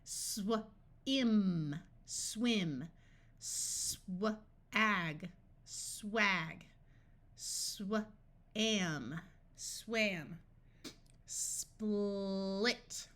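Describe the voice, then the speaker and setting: A woman reading tutor delivers a scripted phonics lesson at a very slow pace. Her pitch sits in the middle for a woman. She speaks deliberately, breaking words into their sounds piece by piece. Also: bright, forceful tone.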